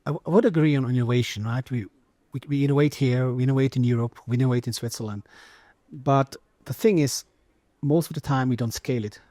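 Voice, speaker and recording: A man's voice: mid-range voice, quick pace, warm tone, dry recording. Man panelist, emphatic and repetitive. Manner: repetitive, emphatic, restarting phrases. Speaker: panelist, tech business director. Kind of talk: conference panel discussion. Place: conference stage.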